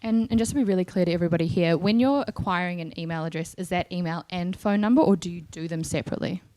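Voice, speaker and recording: A woman's voice: on the low side, fast talker, mellow. A woman moderating a panel discussion in a conversational way, as its host. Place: live event in front of an audience.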